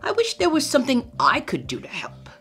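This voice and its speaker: A woman's voice: high voice, wildly swooping pitch, brisk, fairly bright tone, soft background music, pitched above the performer's own voice, exaggerated voice. A woman voicing a kindly, curious male groundhog.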